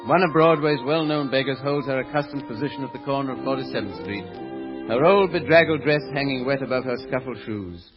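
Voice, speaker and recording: A man's voice: mid-range voice, brisk, lightly acted. A man performing as a grandiose scene-setting narrator in scripted old-time radio style. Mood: dramatic. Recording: muffled old radio recording with music.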